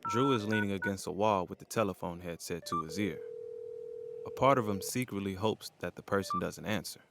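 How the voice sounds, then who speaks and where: Gravelly guy narrating drama scenes, very deep, noisy with phone sounds behind. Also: brisk.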